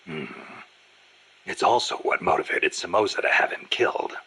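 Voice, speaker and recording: Extremely raspy, gruff soldier. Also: male voice, low voice, moderate pace, bright, forceful tone, exaggerated voice, rough recording. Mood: wry, grim. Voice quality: gravelly, raspy growl. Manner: terse, probing. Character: gruff, battle-worn middle-aged American soldier, laconic. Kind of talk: scripted video game voice acting.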